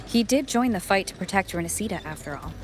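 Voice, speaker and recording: A woman's voice: medium pitch, expressive, fast talker, faint music behind, lightly acted. An actress playing a polite, upbeat young woman, a fair-minded companion in a video game. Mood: thoughtful.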